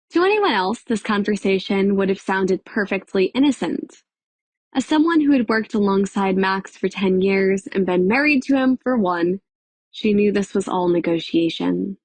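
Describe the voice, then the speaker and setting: A woman narrating a dramatic audiobook in a measured, expressive storytelling manner. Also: medium pitch, dry recording. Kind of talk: scripted dramatic fiction narration.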